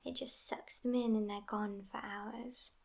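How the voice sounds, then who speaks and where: Woman acting as sassy vampire girlfriend, ASMR roleplay, hushed and whispery, creaky fry, languid, affectionate. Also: a little high, fairly even intonation, unhurried. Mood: musing, wry.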